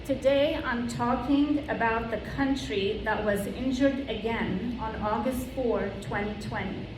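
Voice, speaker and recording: A woman, giving an earnest prepared speech to her club and reading slowly from notes. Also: a little high. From Lebanon. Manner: slow, earnest, read from notes. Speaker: club member giving a talk. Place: club meeting room. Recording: roomy room from far off, with background noise.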